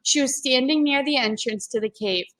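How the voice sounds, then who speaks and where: A woman's voice: high-pitched, normal speed, fairly bright tone, poor audio. A woman reading a children's story aloud in a teacherly way, explaining things to young listeners. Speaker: teacher-like read-aloud reader.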